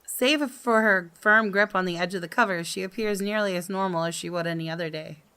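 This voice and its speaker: A woman who hosts gaming videos, reading visual novel narration aloud in an expressive storytelling manner. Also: fairly low pitch, a little fast, smooth.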